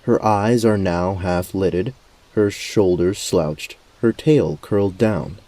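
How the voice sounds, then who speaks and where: Low, mellow male fantasy narrator. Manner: measured, descriptive. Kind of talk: scripted fantasy storytelling narration.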